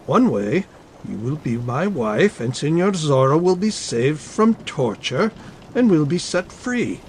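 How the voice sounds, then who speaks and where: A man playing a scheming army captain in a dramatic audiobook, speaking formally with smooth menace. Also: fairly high pitch, big pitch swings, unhurried, slight background noise. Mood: coldly coercive. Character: scheming, coercive male army captain, a suave villain. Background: ambience under the voice.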